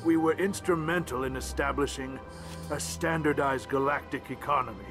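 A man's voice: medium pitch, normal speed, natural voice. A man voicing a grumpy little alien ambassador. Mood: resentful. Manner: curt, resentful, condescending. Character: grumpy small alien male ambassador, wheezing. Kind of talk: video game voice acting. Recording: game audio with background music.

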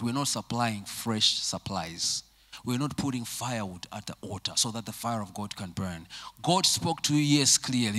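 A man in his forties preaching a sermon in a church on a handheld microphone, emphatic and repetitive, dropping to low quiet phrases. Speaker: pastor. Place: church sanctuary with congregation.

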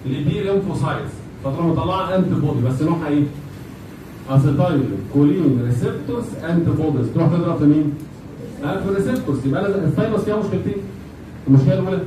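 A man with an Egyptian accent lecturing informally to a class, mixing in English medical terms, repeating phrases and checking that students follow. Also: high-pitched. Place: indoor classroom at a whiteboard with students. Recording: very echoey, noisy classroom, distant microphone.

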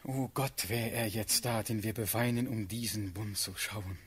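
An actor playing an ardent, devoted young prince in love, speaking fervent verse in a classical radio play. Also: male voice, lowish voice, fairly even intonation, unhurried, husky. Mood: tender, yearning.

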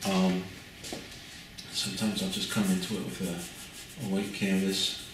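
A man's voice: sits low, little pitch movement, measured pace. Older man teaching painting in an echoey studio. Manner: thinking aloud with fillers and restarts. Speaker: painting instructor. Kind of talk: relaxed painting demonstration. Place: art studio at an easel, indoors. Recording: very echoey room, mic far off, audible noise.

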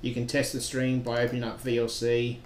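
A man narrating a software tutorial as a trainer, giving plain step-by-step instructions in a roomy recording with some noise. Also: mid-range voice, monotone, fairly quick. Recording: roomy echo with background noise.

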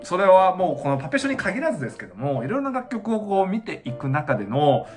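Young guy doing casual reaction commentary, super excitable, voice jumps all over, some music behind him. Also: high voice, fast talker. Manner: excitable, exclaiming in awe. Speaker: dance reaction channel host. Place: small indoor room at home.

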